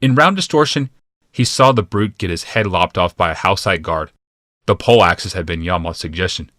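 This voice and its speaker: A man reads a fantasy audiobook in a low voice with a bright, forceful edge. The delivery is scripted dramatic narration, and the recording is very dry. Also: normal speed, no echo.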